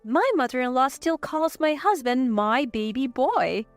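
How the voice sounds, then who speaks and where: A woman reading a scripted first-person family drama in an animated, dramatic narrating manner. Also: high voice, unhurried, no echo, soft background music. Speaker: story narrator.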